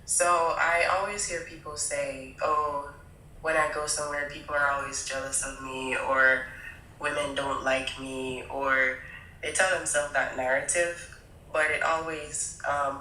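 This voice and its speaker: A woman's voice: low-pitched, fairly even intonation, unhurried, sharp tone, reverberant, slight background noise, low-quality recording. A woman calling into a stream, stuffy from a cold, hesitant and searching for words. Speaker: caller on a live stream. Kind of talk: casual conversation.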